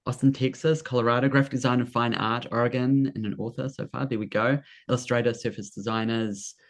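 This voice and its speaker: A man's voice: mid-range voice, fairly even intonation, brisk. A man hosting a live online creative business workshop over a video call, upbeat and repeating his welcomes and prompts. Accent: non-American. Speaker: workshop host. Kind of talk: enthusiastic live webinar hosting.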